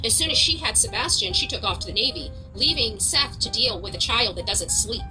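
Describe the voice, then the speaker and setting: Higher-pitched woman doing dramatic true crime commentary. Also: animated, a little fast, pushed and bright, soft background music, poor audio. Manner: dramatic, repeats phrases for emphasis.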